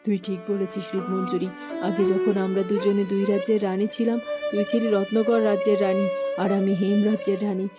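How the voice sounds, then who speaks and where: Remorseful ex-queen, voiced by a woman, medium pitch, quiet, humbled, reflective, music underneath. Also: little pitch movement, fairly quick, close to an everyday voice. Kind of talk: cartoon voice acting.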